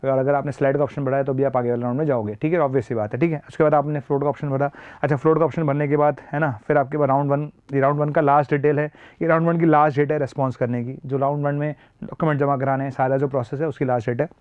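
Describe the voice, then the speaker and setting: A man's voice: mid-range voice, level tone, racing, smooth, no room sound, phone-quality sound. A man with a sore throat explaining an admissions process as a teacher, repeating key points emphatically and mixing in phrases. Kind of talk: explanatory lesson.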